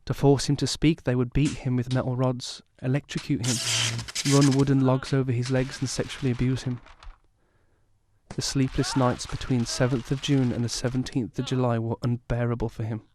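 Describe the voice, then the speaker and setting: A man playing a haunted survivor, recounting a traumatic youth in solemn, measured scripted narration for an audio drama. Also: mid-range voice, flat delivery, background noise, close to an everyday voice.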